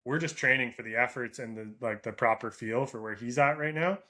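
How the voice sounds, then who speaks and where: A man's voice: medium pitch, brisk. A middle-aged Canadian man with a Canadian accent, a running coach talking casually with an athlete, often tagging "right?" onto his points and acting out runners' thoughts. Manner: animated, acts out runners' thoughts in quoted asides. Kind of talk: casual coaching chat over a call.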